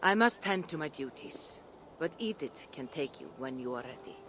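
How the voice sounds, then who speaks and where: Grave, mystical Viking seeress. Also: female voice, low voice, animated, background noise. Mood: grave, foreboding. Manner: measured, grave, foreboding. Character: solemn, mystical Viking seeress advising a warrior. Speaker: game character. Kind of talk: video game cutscene acting.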